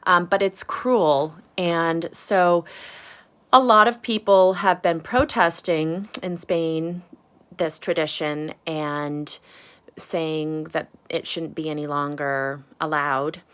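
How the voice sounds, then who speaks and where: A woman reading a children's storybook aloud with casual asides, in deliberate phrases with emphasis on key words. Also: sits low, steady tone, a bit bright, clean tone. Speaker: storybook reader.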